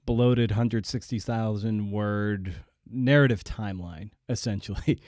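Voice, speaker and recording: A man's voice: on the low side, darkish tone, no room sound, studio quality. A man hosting a podcast interview, chatting casually and feeling his way through sentences with searching, self-interrupting phrasing.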